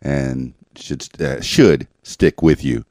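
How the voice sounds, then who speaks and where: A man's voice: rumbling low, takes it slowly, a little mellow, no echo, clean studio sound. A man hosting a podcast, interviewing a caller in a laid-back, joking way with lots of fillers. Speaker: podcast host. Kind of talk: casual interview.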